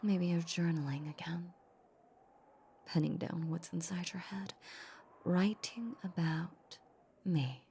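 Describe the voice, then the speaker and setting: A woman playing a possessive, seductive vampire in a roleplay audio drama, teasing her captive with menacing sweetness in a breathy near-whisper. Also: lowish voice, fairly even intonation, slow pace, long pauses, warm tone, a little hiss or hum. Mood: teasing, mock pity.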